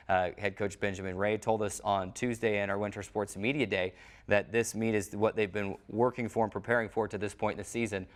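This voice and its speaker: A man presenting a sports show as its host. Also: fairly low pitch.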